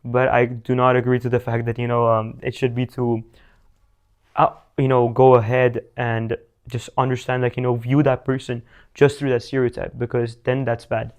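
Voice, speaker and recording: A man's voice: mid-range voice, little pitch movement, moderate pace. A teenage man from India, a young business founder answering interview questions in a casual, rambling way with many fillers and restarts. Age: teens.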